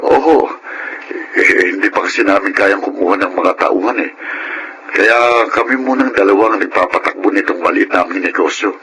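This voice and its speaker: An actor playing a supportive, mild-mannered husband and father, earnest and measured, in a Filipino radio drama on a noisy recording. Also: deep voice, close to an everyday voice.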